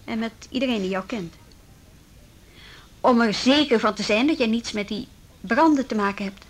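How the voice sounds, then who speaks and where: A woman acting a brisk, persistent policewoman in a radio drama, firm and probing as she questions people. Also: fairly high pitch, lightly acted. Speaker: police officer.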